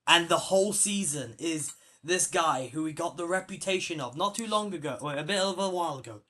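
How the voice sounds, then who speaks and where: A man's voice: fairly high pitch, lively intonation, a little fast, pushed and bright. A man hosting a casual film-talk podcast, rambling with lots of fillers and restarts. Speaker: podcast host.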